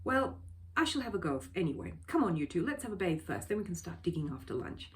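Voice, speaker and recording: Storybook schoolgirl, voiced by a woman reader: eager, bossy, highly varied intonation. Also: very animated, quick pace. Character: eager, bossy young girl at the seaside. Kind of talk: children's storybook character reading.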